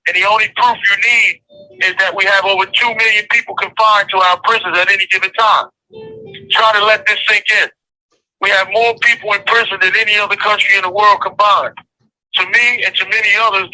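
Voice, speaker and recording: An American man hosting a podcast, reading a measured, earnest intro and then talking with his guests. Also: sits high, pushed and bright, low-quality recording. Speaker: podcast host.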